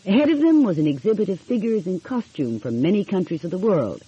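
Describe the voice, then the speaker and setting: Female audiobook narrator, expressive, husky, very lively pitch, dull lo-fi recording. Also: fairly quick. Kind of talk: scripted story narration.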